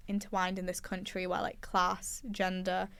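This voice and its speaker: A woman's voice: lowish voice, monotone, moderate pace, vocal fry, a bit bright, clear, resonant tone, no echo. A woman hosting a casual chat show, chatty and asking her guests questions. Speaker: host.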